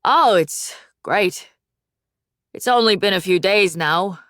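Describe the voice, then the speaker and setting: A woman's voice: mid-range voice, takes it slowly, a bit bright, no room sound, clean studio sound. A woman voicing a guilty eighteen-year-old son who hides his troubles behind forced cheer. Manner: hesitant, covering with forced cheer. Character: young man of eighteen, guilty and evasive.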